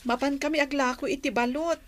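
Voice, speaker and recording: A woman's voice: high voice, fairly even intonation, brisk, close to an everyday voice. A woman playing a polite, hesitant young daughter who is being courted, in a radio drama. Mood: chatty.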